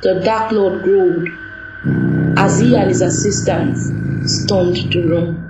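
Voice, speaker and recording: Low-voiced woman narrating a drama. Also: slow pace. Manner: plain, steady storytelling. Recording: echoey room with music.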